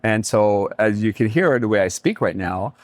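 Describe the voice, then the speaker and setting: A middle-aged man from Jamaica talking reflectively as an interview guest, pausing often mid-thought. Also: on the low side, lively intonation, dry recording. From Kingston, Jamaica. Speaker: restaurateur and community leader, podcast guest.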